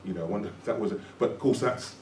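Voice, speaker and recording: A man's voice: fairly low pitch, racing, a little mellow, slight background noise. A British-born man hosting an academic conversation, thinking aloud and often repeating words as he works through an idea. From Britain. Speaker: academic host. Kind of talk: conversational discussion. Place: room at a public talk event. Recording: roomy, distant mic, faint ambience.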